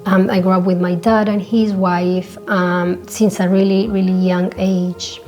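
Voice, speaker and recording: A woman's voice: lowish voice, level tone, measured pace, darkish tone, soft background music. A native Spanish-speaking woman with a Spanish accent, hosting a solo podcast and talking about herself in a reflective, earnest way. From born in Colombia, grew up in Spain. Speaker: podcast host. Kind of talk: personal solo podcast monologue.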